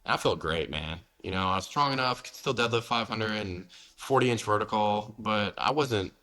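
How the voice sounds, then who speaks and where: A man's voice: fairly low pitch, normal speed, bright, forceful tone. A man in his forties from the United States, talking candidly as a fitness coach in a casual interview, dropping "man" and "you know" often. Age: 40s.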